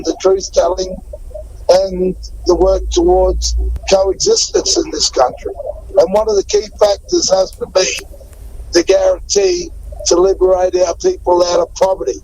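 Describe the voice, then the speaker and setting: Australian activist guy, high voice, gravelly, faint noise behind. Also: sing-song, unhurried, mellow, poor audio. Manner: fervent, repetitive emphasis. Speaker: activist guest. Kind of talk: impassioned interview answers.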